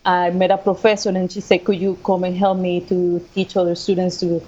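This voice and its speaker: A woman's voice: lowish voice, level tone, a little fast, dark tone, lo-fi sound. A woman with a Costa Rican Spanish accent, a native Spanish speaker, telling her own story conversationally as an interview guest. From Costa Rica. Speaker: guest, assistant professor of sports management.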